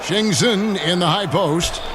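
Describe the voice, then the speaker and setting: A man playing a brisk, excitable play-by-play announcer in a basketball video game. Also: high voice, background noise. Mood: brisk, excited. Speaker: play-by-play commentator. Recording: loud music and crowd behind.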